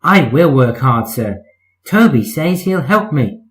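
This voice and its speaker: A man's voice: fairly high pitch, sing-song, takes it slowly. A man voicing a chastened, eager-to-please female diesel railcar in a children's storybook, meek and earnest.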